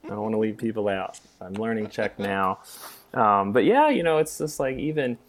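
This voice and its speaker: A man's voice: fairly low pitch, animated, moderate pace, slight background noise. A middle-aged man co-hosting a casual podcast discussion, rambling a bit with self-deprecating humor. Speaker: podcast co-host, music theory teacher.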